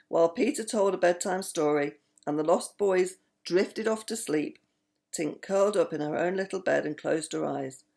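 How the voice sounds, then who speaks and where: Older lady reading a bedtime story, kinda low creaky voice, very loving and expressive. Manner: affectionate, animated storytelling. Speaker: grandmother reading bedtime stories to her grandchildren.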